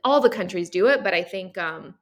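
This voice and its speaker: A woman's voice: fairly low pitch, fairly quick. A woman guest in a casual conversation, speaking earnestly and saying "like" often. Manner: earnest, frequent "like", animated.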